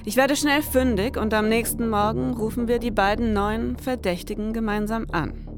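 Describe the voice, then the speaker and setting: A woman playing a brisk, orderly detective who narrates a case in a mock-serious, businesslike noir style. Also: a little high, music in the background, close to an everyday voice.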